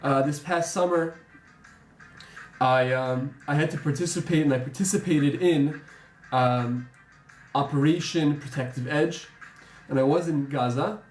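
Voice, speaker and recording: A young American man who served as a soldier, speaking in a measured, reflective way with some ums. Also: fairly high pitch, roomy sound, soft background music, poor audio. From United States. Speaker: former soldier interviewee. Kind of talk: earnest interview testimony.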